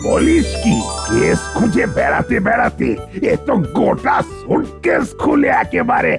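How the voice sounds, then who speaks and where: A man's voice: high-pitched, wildly swooping pitch, unhurried, gravelly, exaggerated voice. A man playing a blustering, bossy and gullible comic police officer in a cartoon. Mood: eager. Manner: bossy, punning. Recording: loud music bed under the voice.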